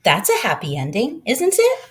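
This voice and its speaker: A woman's voice: mid-range voice, huge pitch swings, moderate pace. A woman voicing a curious, polite young boy with an eager, questioning manner in a children's storybook.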